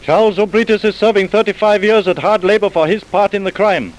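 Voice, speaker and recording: An actor narrating an old radio crime drama in an emphatic, declamatory manner. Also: male voice, high voice, brisk, natural voice, low-quality recording. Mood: grave, emphatic.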